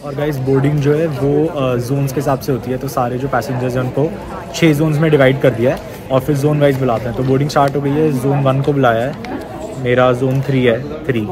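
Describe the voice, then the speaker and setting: A man's voice: mid-range voice, steady tone, brisk, music in the background. A man in his early twenties with an Indian accent chatting casually as a travel vlogger, mixing in English words. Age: early 20s. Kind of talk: casual vlog chat. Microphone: phone at arm's length.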